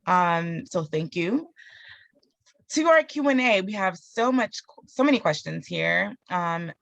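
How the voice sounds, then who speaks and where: A woman moderates a career webinar and reads audience questions in an orderly way. Her voice has some vocal fry and sounds dry and close to the mic. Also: on the low side, fairly bright tone, dry recording. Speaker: host and moderator.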